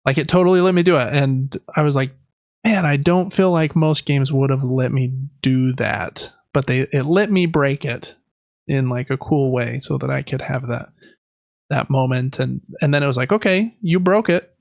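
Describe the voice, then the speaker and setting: A man's voice: medium pitch, moderate pace, gravelly, no room sound, dull audio. A man co-hosting a podcast, chatting casually about video games in a rambling, enthusiastic, informal way. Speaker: podcast co-host.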